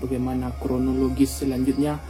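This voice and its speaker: A man's voice: medium pitch, monotone, a little fast, dark tone, faint music behind. A young Indonesian man from Indonesia narrating a true crime story in casual slang, talking straight to his viewers. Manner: slangy, addresses viewers directly.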